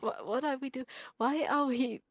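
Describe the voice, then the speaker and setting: A woman's voice: high-pitched, moderate pace, dry recording. A woman co-commentating on a video game stream in casual, conversational chat.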